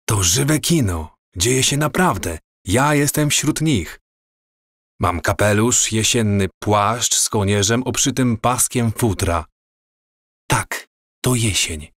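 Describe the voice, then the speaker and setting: A man playing a dreamy, awestruck wanderer in an audio drama, with a measured, wistful, descriptive delivery. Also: medium pitch, no room sound, studio quality.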